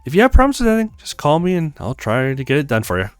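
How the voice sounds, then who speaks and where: A man acts out a young female repair worker from a visual novel, frank, friendly and teasing. The pitch leaps up and down a lot, and the sound is dry and close, with faint music behind. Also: wildly swooping pitch, racing, clean tone, dry recording. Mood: breezy. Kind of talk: acted visual novel read-aloud.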